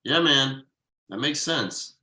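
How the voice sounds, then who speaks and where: A man in a script table read playing a defensive, evasive husband and father, conversational and a little halting. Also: medium pitch, animated, pushed and bright, exaggerated voice. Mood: awkward, evasive. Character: defensive, evasive middle-class husband and father writing ad copy.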